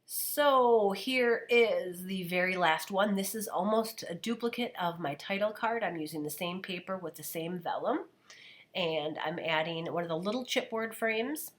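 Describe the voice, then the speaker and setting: A woman with a slightly low, soft voice narrates her craft work in a relaxed, chatty way. She is coming down with a cold and her voice is worn. She talks the listener through each small step as if to friends. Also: measured pace. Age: adult, parent of a daughter. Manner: chatty, relaxed, describing steps as she goes. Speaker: scrapbooking video host. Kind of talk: casual craft voice-over narration.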